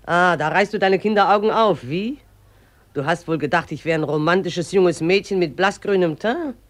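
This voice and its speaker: An actress in a radio play voicing the river itself as a gruff, mocking old woman who scolds in an earthy, derisive way. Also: on the low side, normal speed, no echo, lightly acted. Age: older.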